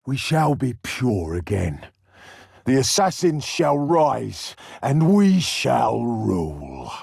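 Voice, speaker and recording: A man playing a menacing, sadistic serial killer villain in a video game, theatrical and taunting, with a raspy, gravelly voice. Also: mid-range voice, dry recording, clean studio sound, exaggerated voice. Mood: grandiose, menacing. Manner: taunting, theatrical, drawn-out delivery.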